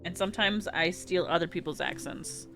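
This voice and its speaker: A woman's voice: on the low side, moderate pace, creaky, over music. A woman with an American West Coast accent, chatting casually with her viewers on a game stream, giggling and rambling with many restarts. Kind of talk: casual chat reading live chat during a game stream.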